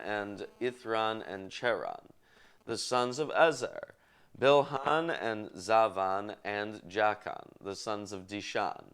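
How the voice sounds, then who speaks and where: A man with a low, smooth voice reads aloud at a very slow, deliberate pace. He delivers items like a list and drops in casual asides as a host. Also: fairly bright tone. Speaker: show host reading scripture aloud. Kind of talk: halting read-aloud of Bible genealogy with casual asides.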